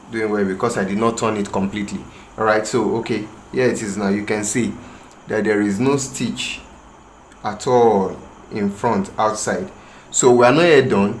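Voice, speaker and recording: A man from Nigeria with a Yoruba-tinged Nigerian English accent, walking through a sewing tutorial with a slow, hesitant manner full of pauses and restarts. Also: fairly low pitch, a bit bright. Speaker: tailoring instructor. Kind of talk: tutorial demonstration. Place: room with a patterned rug, working on the floor. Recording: echoey room, faint ambient noise.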